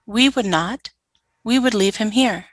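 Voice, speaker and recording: An obedient female alien drone soldier, played by a woman for a radio drama. She talks in a flat, submissive way, taking everything literally. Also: fairly high pitch, unhurried. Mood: blank, puzzled. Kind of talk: audio drama radio play acting.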